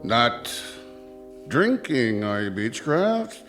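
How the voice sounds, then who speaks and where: A man's voice: medium pitch, big pitch swings, drawn-out words, a bit bright, soft background music, full character voice. An actor playing a hearty, condescending office boss in a radio drama, pompous and preachy as he lectures. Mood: hearty, lecturing. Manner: pompous, preachy, slyly probing.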